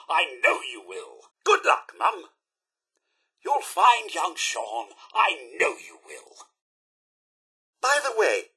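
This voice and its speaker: A man's voice: sits high, gravelly, sharp tone, husky, exaggerated voice. A man voicing a fussy, devoted robot butler in a video game, with a British accent and a formal, theatrical, effusively polite delivery. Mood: polite, eager. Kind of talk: video game character voice acting.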